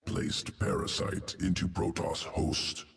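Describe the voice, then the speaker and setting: A man's voice: deep voice, steady tone, creaky, recorded from a distance, exaggerated voice. A man playing a cold, clinical alien insectoid geneticist in a video game, speaking in a guttural growl and clipped, telegraphic phrases. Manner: clipped telegraphic speech without articles, deliberate. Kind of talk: video game character acting.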